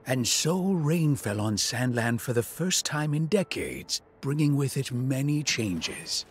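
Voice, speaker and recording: An actor playing a loyal, fussy elderly demon attendant and storyteller in a video game. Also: male voice, medium pitch, animated, takes it slowly. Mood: narrating. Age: older. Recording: music behind the voice.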